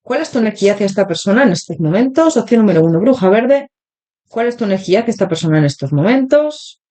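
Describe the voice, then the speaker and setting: A woman with a Spain Spanish accent, hosting an interactive tarot reading and speaking directly to her viewers. Her throat is still sore from a cold, and she talks in a chatty way with many filler pauses. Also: medium pitch, animated, dark tone. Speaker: tarot reader, channel host.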